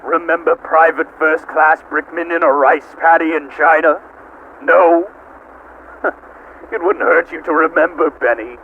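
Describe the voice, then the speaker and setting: Slow, high-pitched, sadistic evil supercomputer. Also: male voice. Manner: theatrical, menacing, drawn-out words, swinging from hushed to shrieking. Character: sadistic, hate-consumed male supercomputer, mocking, theatrical, godlike. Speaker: amateur voice actor. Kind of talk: theatrical villain monologue reading. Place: at home. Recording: dull lo-fi home recording, faint noise.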